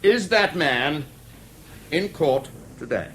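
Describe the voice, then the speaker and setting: An actor with an English accent playing a formal, probing prosecuting barrister in a 1970s British radio drama, deliberate and insistent with weighty pauses. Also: male voice, a little high, expressive, fairly bright tone. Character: probing, theatrical male prosecuting barrister in court. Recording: faintly noisy old radio broadcast.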